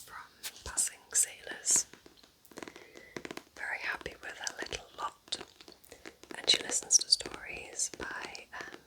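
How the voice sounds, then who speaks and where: A woman speaking in a soft, breathy, unvoiced whisper, gently and intimately, in a casual ASMR style as she recommends books. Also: poor audio.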